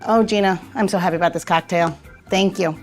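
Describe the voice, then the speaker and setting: A woman's voice: on the low side, steady tone, brisk, faint music behind. A woman chatting casually and informally as a podcast co-host. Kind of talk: casual chat.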